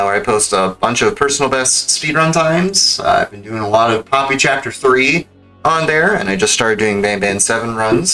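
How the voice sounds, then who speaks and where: A man's voice: mid-range voice, pushed and bright, low-quality recording. A man speaking as a game livestreamer, chatting casually in an informal, rambling, slangy way. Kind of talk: casual livestream sign-off chat. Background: background music.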